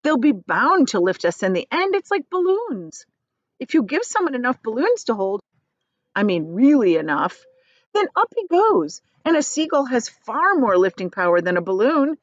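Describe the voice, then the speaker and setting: A determined young storybook boy, played by a woman reader, earnest and sure of himself. The voice is high and very lively in pitch, captured close and dry. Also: moderate pace, no echo, muffled recording. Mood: calm, confident. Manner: earnest, confident, explanatory. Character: calm, determined young boy explaining his plan. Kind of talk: children's storybook character reading.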